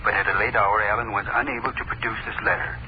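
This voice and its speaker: An actor playing an announcer formally reading a court notice in a 1930s radio drama. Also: male voice, on the low side, fairly even intonation, fast talker, close to an everyday voice. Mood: official, matter-of-fact. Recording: dull, noisy 1930s radio recording.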